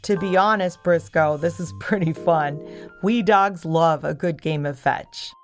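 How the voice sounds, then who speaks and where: A woman voicing a good-natured, eager male dog president in a children's story, warm and exclamatory. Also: fairly low pitch, measured pace, faint music behind, close to an everyday voice. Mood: delighted. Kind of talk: children's storybook character reading.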